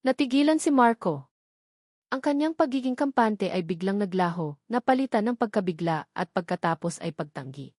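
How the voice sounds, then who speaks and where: A woman's voice: medium pitch, lively intonation, normal speed, a little mellow, no echo, clean studio sound. A woman reads a scripted romance story as narrator, in a dramatic, emphatic storytelling manner.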